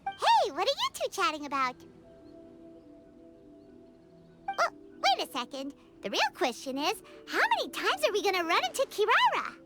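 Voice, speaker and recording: Tiny excitable game fairy kid, super high squeaky voice, music loud behind. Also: female voice, a little fast, full character voice. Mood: curious. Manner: refers to herself in third person, exclamatory. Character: small excitable childlike floating fairy girl companion. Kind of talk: video game voice acting.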